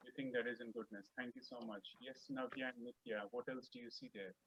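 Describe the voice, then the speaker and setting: A man's voice: medium pitch, level tone, fast talker, vocal fry, fairly bright tone, slightly rough, lo-fi sound. A man moderating an online class over a video call, calling on students and encouragingly repeating their answers back. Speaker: class host.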